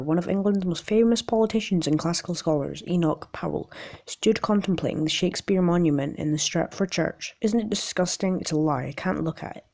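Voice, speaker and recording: A woman with a raspy voice in an amateur, casual video, rambling and reading aloud in a jokey, self-deprecating way with stumbles. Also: lowish voice, darkish tone. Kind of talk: casual rambling vlog with articles read aloud.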